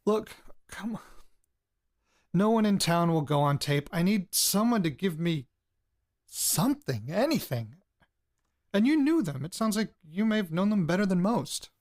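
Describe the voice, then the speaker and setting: An actor playing an anxious, insistent young amateur investigator, speaking haltingly and bluntly in a naturalistic audio drama. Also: male voice, sits high, sing-song, darkish tone. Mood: insistent, incredulous. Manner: halting, blunt, pressing, sweary. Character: anxious, insistent amateur investigator probing his late grandparents' secrets. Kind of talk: audio drama, naturalistic hesitant recorded diary and interview. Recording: field recording with background noise.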